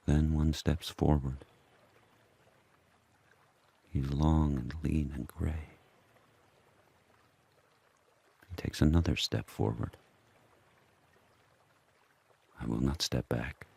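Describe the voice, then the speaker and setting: A man playing a fierce, brooding sled dog turned pack leader, telling his tale in terse, deliberate phrases in an audio drama. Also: steady tone, dark tone, exaggerated voice. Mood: wary, tense. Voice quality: very deep, husky. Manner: terse, deliberate first-person narration in short phrases.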